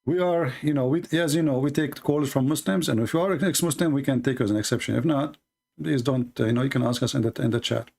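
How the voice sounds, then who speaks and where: A gravelly man hosts a call-in show. He rambles on, filling the gaps with lots of uh and um. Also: mid-range voice, quick pace, darkish tone. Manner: rambling, with many fillers and restarts. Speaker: host of a call-in debate show. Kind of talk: casual call-in talk.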